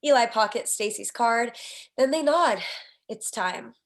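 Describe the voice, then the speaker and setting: A woman narrates a scripted table read. She reads scene headings and action lines in a voice pitched a little high. The delivery is that of a screenplay narrator. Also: unhurried. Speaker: stage directions narrator.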